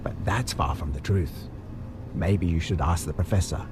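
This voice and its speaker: A man playing a maniacal butcher villain in an audio drama, taunting in a theatrical, drawn-out way. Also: sits low, music in the background, close to an everyday voice. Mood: sly, taunting. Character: menacing maniacal butcher villain, gloating over his victim.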